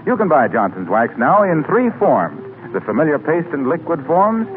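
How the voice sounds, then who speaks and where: A thin vintage radio recording with faint hiss. A man announces in polished 1940s commercial style, fairly high-voiced and melodic, with measured, varied emphasis. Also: wildly swooping pitch, narrow, tinny audio.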